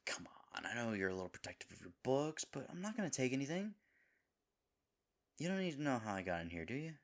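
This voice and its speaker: A man's voice: mid-range voice, big pitch swings, quick pace. A man playing a devoted, goofy boyfriend nervous about proposing, rambling and chiding himself earnestly in a scripted romantic audio roleplay. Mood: playful bluffing.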